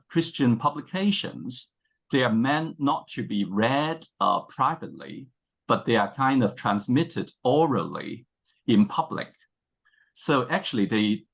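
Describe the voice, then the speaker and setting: Cantonese-accented male scholar, halting delivery. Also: medium pitch, a bit bright. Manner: halting, with frequent hesitations and self-rephrasing. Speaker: scholar and panel speaker. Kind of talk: academic presentation to an online panel.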